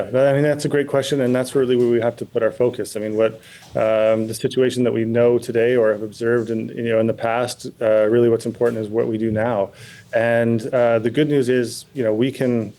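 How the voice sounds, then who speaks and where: A man answering in a news interview as a pilots' representative, speaking in a careful, measured, halting and diplomatic way. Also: mid-range voice, warm tone. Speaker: pilot and pilots' association president, interview guest.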